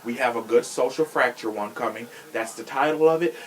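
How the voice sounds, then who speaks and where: A man's voice: medium pitch, fairly quick, fairly bright tone. A young man hosting a podcast in a casual, opinionated chat, talking in a sassy, slangy and emphatic way. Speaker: podcast host.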